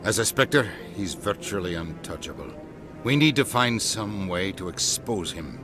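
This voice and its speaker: A man's voice: deep voice, expressive, measured pace. A man playing a stern, pragmatic middle-aged politician in a video game, curt and authoritative. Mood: curt, businesslike. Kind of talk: video game cutscene acting. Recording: music behind game dialogue.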